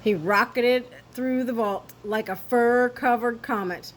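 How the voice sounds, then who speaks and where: A woman storyteller reading a children's story aloud with expressive, theatrical emphasis. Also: fairly high pitch, measured pace, a little hiss or hum.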